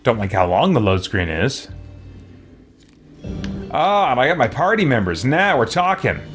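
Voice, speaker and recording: A man's voice: mid-range voice, big pitch swings, measured pace, a bit bright, breathy edge, soft background music. A man doing casual let's play commentary on a video game, muttering and grumbling wryly to himself as he plays. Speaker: video game streamer playing and reacting.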